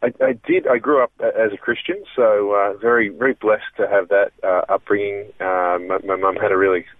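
A low-voiced Australian man talks earnestly about his life as a guest, stopping and restarting as he goes. He comes through a tinny, narrow phone line with a dry, close sound. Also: dry recording. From Melbourne, Australia. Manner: earnest, halting, many restarts. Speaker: guest comedian and impressionist. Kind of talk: casual interview.

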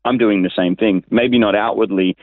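Over a narrow, phone-like connection, an Australian man talks in a fairly low voice. He is an interview guest, a pastor recounting his experiences conversationally, with little room echo. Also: steady tone, moderate pace. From Sydney, Australia. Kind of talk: casual conversational storytelling. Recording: tinny narrow phone-like line.

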